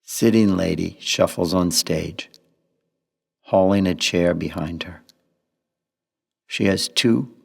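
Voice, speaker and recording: Very deep, gravelly, slow male narrator. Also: long reverb tail. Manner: measured, sparse phrasing. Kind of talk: scripted poetic radio play narration.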